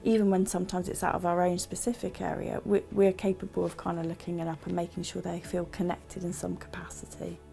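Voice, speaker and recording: A woman's voice: fairly low pitch, level tone, a little fast, gravelly, hardly any pauses, mellow. A woman who takes support calls, describing her work in an interview style. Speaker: helpline support worker. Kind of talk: interview-style account of work for a promotional film. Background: background music.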